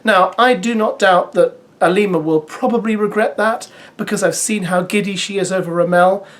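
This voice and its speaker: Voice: medium pitch, moderate pace. A parent vlogging casual commentary on a reality dating show, chatty with sarcastic asides. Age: adult parent. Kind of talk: casual spoken commentary to viewers.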